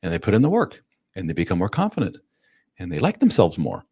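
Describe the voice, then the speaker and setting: A man nearing fifty talks through viewer questions as a relationship coach, loose and conversational. His voice sits very low, and the sound is close and dry, with almost no room around it. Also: huge pitch swings, quick pace, a little mellow, breathy edge, no echo. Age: 40s. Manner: casual, plain-spoken, acts out quotes in a raised voice. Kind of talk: live-stream Q&A.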